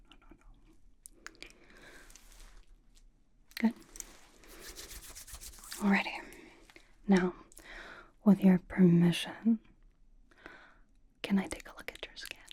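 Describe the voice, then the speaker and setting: Girl doing a blunt but doting young pop idol gal, soft whispery asmr, relaxed dark tone, dry slangy talk. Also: on the low side, lightly acted. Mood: fussing, gentle. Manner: casual slangy drawl, dry and deadpan. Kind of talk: whispered ASMR personal attention roleplay.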